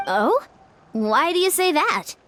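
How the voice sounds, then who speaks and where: A woman's voice: high voice, very animated, takes it slowly, background noise, full character voice. A woman playing a cheerful, upbeat young female designer in a video game, articulate. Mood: curious, pleased.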